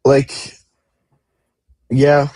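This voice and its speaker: Dark-voiced man in casual hockey chat. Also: medium pitch, completely flat delivery, drawn-out words, no room sound. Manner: loose, rambling with many fillers. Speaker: podcast co-host.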